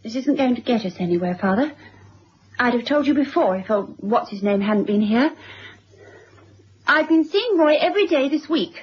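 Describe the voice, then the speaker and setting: An actress playing a defiant, wounded young daughter in a radio drama, speaking emphatically. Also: female voice, fairly high pitch, lively intonation, moderate pace, long pauses, slight background noise, natural voice. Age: young adult. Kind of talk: 1970s radio drama acting. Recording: muffled old radio recording.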